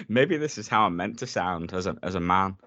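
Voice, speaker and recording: A man co-hosting a podcast, sick with a rough voice that is deeper than usual, laying out facts steadily. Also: unhurried, no echo, dull audio. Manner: steady, presents facts and synopsis methodically. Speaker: podcast co-host. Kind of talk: casual chat.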